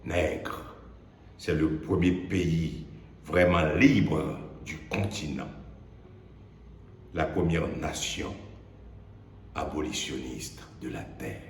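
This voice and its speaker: Deep-voiced man reciting solemn poetry, heavy reverb. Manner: deliberate, declamatory, with weighty pauses. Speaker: poet. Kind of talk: solemn dramatic spoken-word poetry recitation. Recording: echoey hall-like reverb, background noise.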